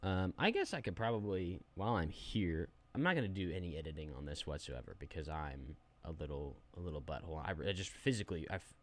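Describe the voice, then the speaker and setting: A man's voice: sits low, lively intonation, fast talker, hardly any pauses. A young adult man giving a rambling, self-deprecating, unedited review of a phone app while sharing his screen.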